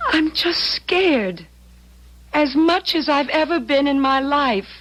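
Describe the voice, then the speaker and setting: A woman's voice: sits high, expressive, slow pace, fairly bright tone, heavily acted. A young American woman acting in an old-time radio drama as a worried, protective daughter, earnest and pleading. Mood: anxious, pleading. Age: young adult.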